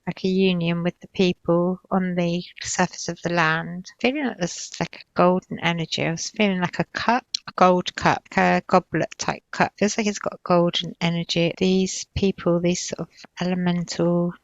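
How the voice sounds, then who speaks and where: A woman with a slightly low voice narrates an inner vision in a trance session. She speaks tentatively and haltingly, searching for each image as it comes. The sound is dry, with very little room. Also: fairly even intonation, no echo, highs cut off. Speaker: healer describing visions in a session. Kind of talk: slow, improvised trance narration.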